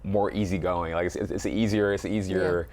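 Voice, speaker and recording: A man's voice: deep voice, fairly even intonation, fairly quick, darkish tone, slight background noise. A man giving thoughtful, reflective interview answers as a coach, feeling his way through his phrasing. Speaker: basketball coach, interview guest.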